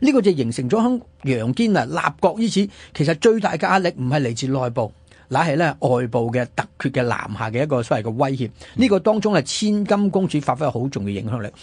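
A man with a Hong Kong Cantonese accent telling history conversationally as a radio host, animated and fond of rhetorical questions. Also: mid-range voice, fast talker, husky, no room sound. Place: radio studio.

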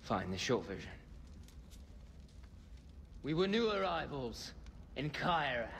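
A man's voice: medium pitch, big pitch swings, takes it slowly, vocal fry, a bit bright, big-room sound, a little hiss or hum, full character voice. A man playing a meandering, mock-grand British stoner storyteller in a video game. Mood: hazy storytelling. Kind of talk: video game cutscene acting.

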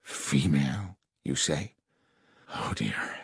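A male narrator acts a well-mannered gentleman in an audiobook mystery. He sounds formal and uneasy, flustered beneath his courtesy, close and dry with no room sound. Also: sits low, very animated, unhurried, no echo. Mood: shaken. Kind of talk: dramatised audiobook dialogue.

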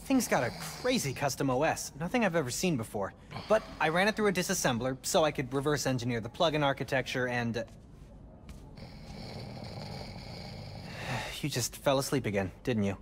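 Man as excitable teenage tech whiz, lively pitch. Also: moderate pace, long pauses, slight background noise, natural voice. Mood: excited. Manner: casual, excitable. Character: chatty, enthusiastic teenage boy tech whiz, loyal sidekick. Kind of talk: naturalistic video game acting.

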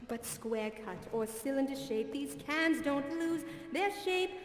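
A high-voiced, glamorous diva cat crooning and gushing endearments, as a woman puts her on, unhurried and theatrical. She sounds from a roomy hall with a long echo, music playing behind her. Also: animated. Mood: sultry singing. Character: glamorous diva female cat cabaret singer. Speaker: variety show performer. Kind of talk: cabaret song and stage patter.

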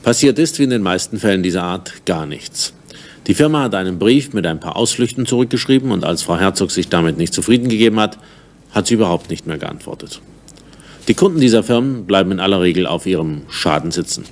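A man's voice: low-pitched, animated, brisk. A middle-aged man presenting and narrating a scripted consumer-warning programme in a formal, dryly ironic manner. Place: television studio.

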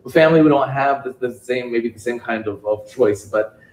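A man giving a public talk at a bookstore event, speaking haltingly with frequent restarts and "you know"s. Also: medium pitch, darkish tone. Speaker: guest speaker. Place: bookstore event space with audience. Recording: echoey room.

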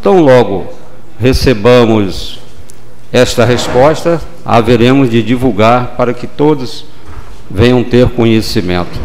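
A man in his sixties, a city councilman, giving a measured, formal speech at a desk microphone in a council chamber. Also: mid-range voice, a little mellow, a little hiss or hum. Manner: measured, formal, deliberate pauses. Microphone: desk gooseneck microphone.

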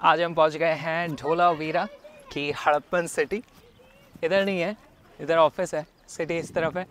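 A man's voice: on the high side, steady tone, unhurried, fairly bright tone. A young man talking casually and playfully to the camera while he travels, filmed outdoors with a camera at arm's length. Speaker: travel vlogger. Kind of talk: casual travel vlog chat. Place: outdoors at a site and in a car.

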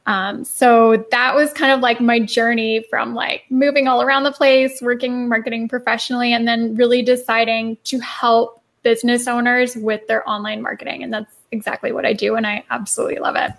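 A woman's voice: a little high, flat delivery, fairly quick, gravelly, few pauses. A young woman, a marketing consultant, answering interview questions in a chatty way with lots of fillers.

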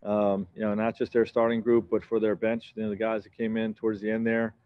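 A man in his forties answering reporters after a game, steady and measured, his sentences stitched together with "you know" and soft ums. His voice sits fairly low, and the sound is dry and dull, like lo-fi broadcast audio. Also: dry recording. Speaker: head coach. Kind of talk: postgame press conference answers. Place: press conference backdrop, indoors.

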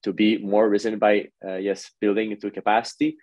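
A man's voice: on the low side, flat delivery, fairly quick, darkish tone, no echo. A man, a port executive answering on a video call panel, hesitant with many fillers and restarts.

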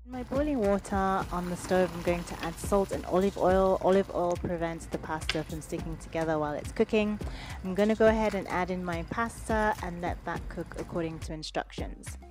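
A woman hosting a cooking video, calmly talking through each step in a voice-over. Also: fairly low pitch, a little fast. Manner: relaxed step-by-step instructions. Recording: voice-over with music underneath. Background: background music.

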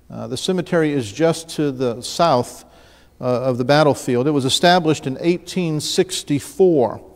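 A middle-aged man from the New Orleans area, preaching at a church pulpit. His voice sits a little high for a man, and he tells stories in a loose, conversational way with frequent restarts. The sound carries a long reverb from the sanctuary. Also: darkish tone. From New Orleans area, Louisiana. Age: 50s. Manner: storytelling, conversational, with restarts and asides to the congregation. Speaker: pastor. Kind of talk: sermon. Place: church sanctuary, large room. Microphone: pulpit mic, mid-distance camera.

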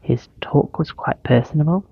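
A woman's voice: low-pitched, normal speed, creaky, slightly rough, no echo, whispered, highs cut off. A woman, speaking as the host of an interview podcast.

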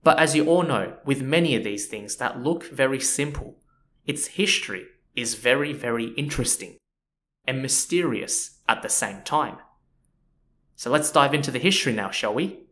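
Man of medium pitch, casual podcast host, talks history in a loose chatty style. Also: moderate pace. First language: not Japanese. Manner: relaxed and chatty, with frequent "you know" and "so yeah".

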